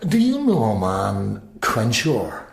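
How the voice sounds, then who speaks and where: Pious, menacing prisoner, echoey room. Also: male voice, on the low side, huge pitch swings, gravelly, heavily acted. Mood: quietly menacing. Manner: measured, preachy, taunting. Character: pious, defiant male IRA prisoner, quietly menacing. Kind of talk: radio crime drama acting. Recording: roomy, echoing interior.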